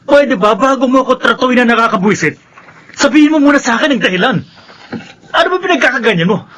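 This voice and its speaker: A man's voice: very high voice, lively intonation, quick pace, a bit bright, a little hiss or hum. An actor playing a defensive, irritated young man in a radio drama, confrontational and exasperated. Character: Ricky, defensive irritated young man who shirks responsibility. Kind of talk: Filipino radio drama acting.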